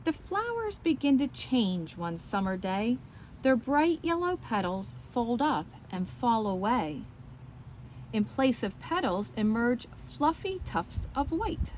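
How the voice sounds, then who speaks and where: A woman's voice: fairly high pitch, sing-song, slow pace, a little hiss or hum, low-quality recording. A woman reading aloud and showing things to young children, explaining as she goes and putting questions to her listeners. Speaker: children's story time teacher and reader. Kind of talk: read-aloud and show-and-tell for young children.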